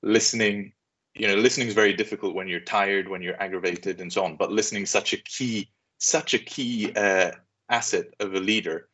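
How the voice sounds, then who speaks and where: Low-voiced young man, muffled recording. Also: steady tone, a little fast, pushed and bright. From Switzerland and Southeast Asia. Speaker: podcast guest, business leader. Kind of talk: casual interview chat. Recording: dull, muffled lo-fi sound.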